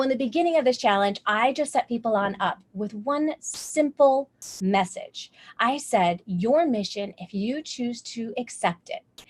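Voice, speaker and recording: A woman hosting an online tutoring masterclass, bubbly and gushing, with lots of "like" fillers. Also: a little high. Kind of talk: enthusiastic webinar hosting.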